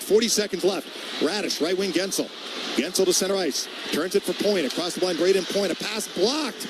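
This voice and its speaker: A man doing live radio hockey play-by-play in clipped, short phrases that name players as the action moves. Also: high voice. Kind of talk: live play-by-play sports commentary. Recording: noisy arena ambience behind the voice.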